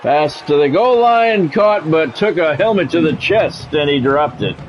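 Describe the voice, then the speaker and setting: A man's voice: on the high side, animated, a little slow, slight background noise, low-quality recording. A man doing football play-by-play as a game streamer, in short clipped announcer-style calls mixed with casual chat to viewers.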